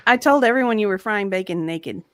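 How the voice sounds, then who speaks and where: A woman's voice: mid-range voice, animated, a little fast, fairly bright tone. A woman co-hosting a livestream with casual, playful banter.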